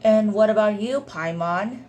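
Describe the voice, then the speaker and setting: A woman's voice: mid-range voice, a little slow, noisy background. A woman reading dialogue aloud over video game play as a gameplay streamer. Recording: faint game music behind.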